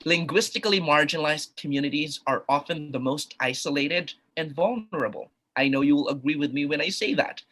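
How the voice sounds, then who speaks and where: A man's voice: fairly high pitch, brisk, hardly any pauses, bright, forceful tone. A man from Luzon with a Filipino accent, giving an earnest academic conference talk full of rhetorical questions. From Philippines, Luzon. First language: a regional language of Luzon. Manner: earnest, emphatic, many restarts and rhetorical questions. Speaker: conference keynote speaker. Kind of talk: academic lecture over video call.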